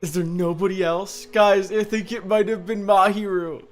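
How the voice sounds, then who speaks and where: A man's voice: high-pitched, fairly even intonation, moderate pace. A man streaming a game and chatting casually, with music in the background. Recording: faint music behind. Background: faint game music.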